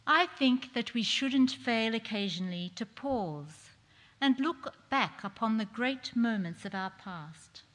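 A middle-aged woman performing a dignified 1940s woman politician's speech to parliament, in measured, declamatory theatrical oratory. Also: on the high side, expressive, a bit bright. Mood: earnest, stirring. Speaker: performer reading a historic speech on stage. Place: large theatre stage, indoors. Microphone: stage microphone on a stand. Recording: echoey hall with long reverb.

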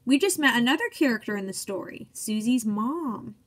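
A woman's voice: on the high side, lively intonation, moderate pace. A young woman reading a picture book aloud to children like a teacher, encouraging and speaking in simple phrases. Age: young adult.

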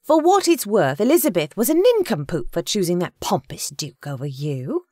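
A woman's voice: lowish voice, sing-song, moderate pace, dark tone, no echo, clean studio sound, voice pushed up. A woman voicing a motherly, feisty, devout older woman who talks earnestly and scolds in folksy turns of phrase. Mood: indignant.

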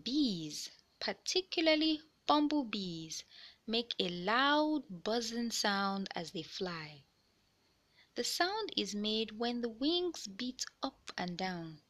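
A woman narrates a children's picture book with a very animated, swooping intonation. Her voice is bright and clear-cut, and she takes her time over each phrase. The delivery is that of an expressive storyteller explaining things to young listeners. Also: a little high, slow pace. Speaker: read-aloud narrator of children's books.

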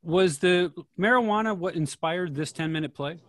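A man hosting a casual discussion on a video call, talking in a thoughtful, halting way. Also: high-pitched, a bit bright, no echo. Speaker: host of a play-reading discussion.

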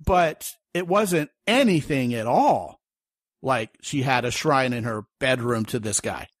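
A man in his thirties hosts a podcast in easy, storytelling chat. He jokes along the way. His voice is slightly husky and the sound is close and dry. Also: medium pitch, lively intonation, a little slow, dry recording. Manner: chatty, storytelling, joking. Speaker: podcast host. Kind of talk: casual interview chat.

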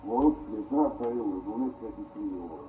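A man in loose, casual conversation. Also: on the low side. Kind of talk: casual chat. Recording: distant, echoey, noisy amateur recording.

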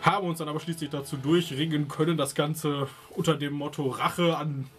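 A man giving a halting, wordy, jocular recap of a role-playing session as one of the players. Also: a little high, fairly even intonation, fairly bright tone, faint music behind. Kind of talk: unscripted recap of a game session.

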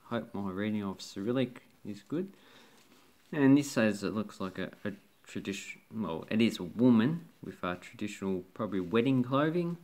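A man's voice: on the low side, expressive, normal speed, a little mellow. A man casually talking through banknotes from his collection, rambling with fillers and restarts. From Australia. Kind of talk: casual show-and-tell commentary.

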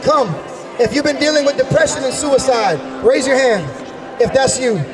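A man in his thirties preaching and praying forcefully in a revival style, with repeated exhorting calls. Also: very high-pitched, normal speed, distant mic. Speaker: street preacher. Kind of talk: fervent revival preaching and prayer. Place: large busy indoor shopping mall. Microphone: handheld microphone. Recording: handheld mic in loud crowded public hall. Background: loud crowd.

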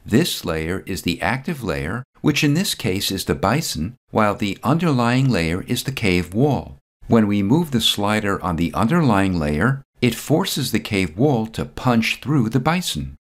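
Man, tutorial voice-over, lowish voice, animated pitch, methodical. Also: unhurried. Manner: calm, methodical, precise. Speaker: software tutorial narrator. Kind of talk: scripted step-by-step voice-over instruction.

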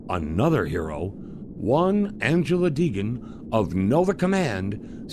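Slow, dramatic male serial narrator. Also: mid-range voice. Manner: dramatic, theatrical. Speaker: announcer and narrator. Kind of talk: retro radio serial narration, scripted. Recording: clear background noise under voice.

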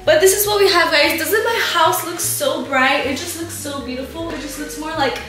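A woman's voice: very high-pitched, moderate pace, sharp tone, echoey room, faint music behind. A young woman in her twenties chatting casually to her camera at home, venting and swearing a lot. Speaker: lifestyle vlogger. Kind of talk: casual storytime vlog. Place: bright living room and kitchen at home.

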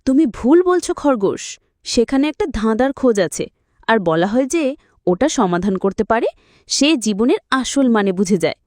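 A woman's voice: fairly high pitch, very animated, normal speed, a little mellow, dry recording, studio quality. A woman voicing a curious, brave and wise cat in a children's cartoon, earnest and encouraging.

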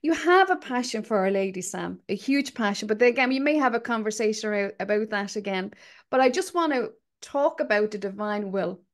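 A woman's voice: mid-range voice, expressive, a little fast. A woman in her fifties hosting a conversational interview over a video call from a small room. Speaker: interview host.

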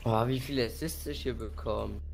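A man's voice: medium pitch, a little fast, vocal fry. A man chatting casually as a teammate during a shooter game. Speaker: fellow player. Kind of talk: casual gaming chat. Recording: noisy game chat with clicks, gunfire.

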